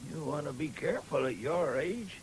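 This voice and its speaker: Concerned farm neighbour, country english accent, lowish singsong voice, old radio drama, bit hissy. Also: female voice, expressive, moderate pace, a little mellow. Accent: rural English. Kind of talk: 1970s British radio drama acting.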